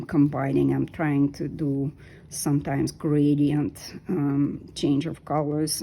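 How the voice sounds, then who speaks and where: Low, gravelly-voiced woman in her fifties. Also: dark tone, rough recording. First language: Russian. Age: 50s. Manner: unhurried, hesitant with many ums. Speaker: knitting vlogger. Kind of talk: casual talk while knitting.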